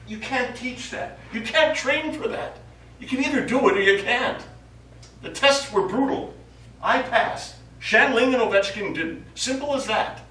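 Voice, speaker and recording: A guarded astronaut played by a male stage actor speaks in a fairly high voice, earnest and defensive, with halting repeats. It is live play dialogue, heard from a distance in a very echoey space. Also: fairly bright tone, exaggerated voice. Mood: defensive, insistent. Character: quiet, guarded male astronaut explaining himself to his crew. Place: small theater studio, recorded from audience distance. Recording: echoey hall, distant mic, faint noise.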